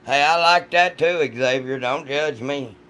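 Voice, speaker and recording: A man with a deep, rough voice chats casually and jokingly with his livestream audience in a folksy, salty way. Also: measured pace, pushed and bright. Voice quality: deep, rough voice from vocal cord polyps. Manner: folksy, joking, foul-mouthed. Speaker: livestream host reading and answering chat.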